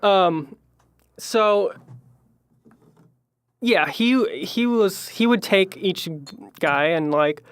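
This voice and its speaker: A young man from Chicago with a high voice, chatting as a musician guest in a podcast interview, rambling with many fillers and trailing off quietly. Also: animated, drawn-out words, pauses often, clear, resonant tone, no echo. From Chicago, Illinois.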